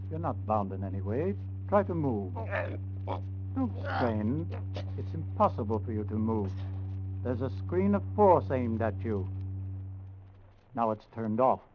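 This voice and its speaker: Domineering alien commander, noisy recording. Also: male voice, fairly low pitch. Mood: taunting, commanding. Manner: declamatory, imperious, commanding. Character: domineering alien commander taunting an Earth captive. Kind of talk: 1950s radio science fiction drama acting. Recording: noisy, dull old radio recording.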